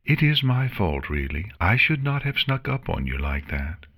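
A serene pony princess with a raised, soft voice, as a male narrator puts her on. She talks calmly and formally, gentle and reassuring. Read aloud from a storybook. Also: fairly low pitch, normal speed, voice pushed up, heavily acted. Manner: calm, kind, formal.

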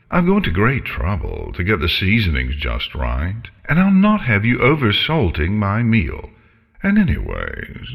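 A man plays a haughty female storybook dragon with a theatrical, swooping delivery that leaps upward in pitch. The dragon sounds prim and breezily menacing, condescending to its prey. The audio is slightly dull. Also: gravelly, long reverb tail, full character voice.

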